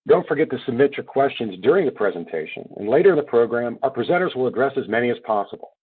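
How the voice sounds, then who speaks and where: A male webinar moderator reads out introductions in a steady, formal way. His voice is a little husky and comes through a thin, phone-like line. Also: medium pitch. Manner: measured, formal reading. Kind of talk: read introductions.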